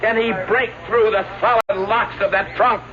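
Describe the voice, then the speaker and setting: A man's voice: high voice, steady tone, normal speed, muffled recording, crowd noise, lightly acted. An actor playing a showman who announces an escape act in an old radio drama, with a dramatic, announcing manner. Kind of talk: 1970s radio drama acting.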